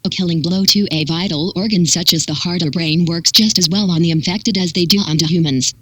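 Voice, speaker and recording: A synthetic text-to-speech voice reading a scripted public warning in an impersonal, measured manner with clipped instructions. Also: medium pitch, a bit bright, no room sound. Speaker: emergency broadcast announcer.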